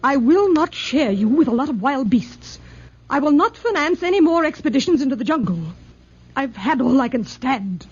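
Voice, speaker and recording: Scared bitter wife in old radio play, high voice, pleading but firm, muffled sound. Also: female voice, lively intonation, normal speed, darkish tone. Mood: defiant. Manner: melodramatic, pleading yet firm. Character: bitter, fearful, defiant crippled wife of a hunter. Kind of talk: 1940s radio drama acting. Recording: muffled, noisy 1940s radio recording.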